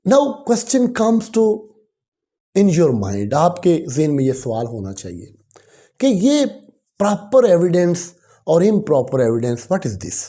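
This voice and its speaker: A law lecturer with a Pakistani accent explaining in a didactic way, posing questions and answering them, and switching into deliberate English. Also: medium pitch, mellow. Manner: switches between Urdu and slow, deliberate English.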